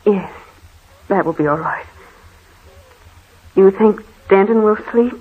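An actress playing a frightened, anxious wife in a melodramatic old radio horror play. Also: female voice, mid-range voice, slow, breathy edge. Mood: scared, subdued. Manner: fearful, melodramatic. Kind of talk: 1940s radio drama acting. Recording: tinny, hissy old radio recording.

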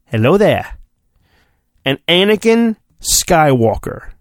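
Playful man with a lively, higher-pitched voice. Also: takes it slowly, no echo, studio quality. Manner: playful, mock-earnest, acts out sound effects. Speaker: podcast host reading a children's storybook. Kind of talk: comic dramatic storybook reading with chatty asides.

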